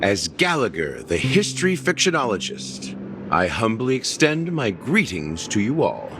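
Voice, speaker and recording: An actor voicing a scheming middle-aged man in a game cutscene, measured and wry as he explains. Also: fairly low pitch, huge pitch swings, noisy background, full character voice. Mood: calm. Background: game score music.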